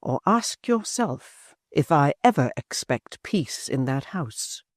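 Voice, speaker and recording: A man voicing a proud, bitter young woman in a storybook reading, speaking low and steady. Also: slow, no echo, clean studio sound, heavily acted. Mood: cold. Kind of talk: audiobook character voice.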